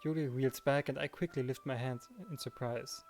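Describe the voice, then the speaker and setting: A man's voice: mid-range voice, steady tone, moderate pace, a little mellow, faint music behind. A man hosting a let's play, reading a visual novel and chatting casually in a conversational way. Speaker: let's play host, narrator and reader.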